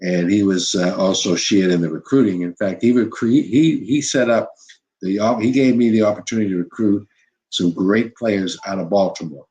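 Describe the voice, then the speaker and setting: An older man, a former basketball coach, telling stories from his career in an unhurried interview, full of "you know" and restarts. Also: on the low side, steady tone, darkish tone, rough recording. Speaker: former college basketball head coach, guest. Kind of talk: reflective interview storytelling.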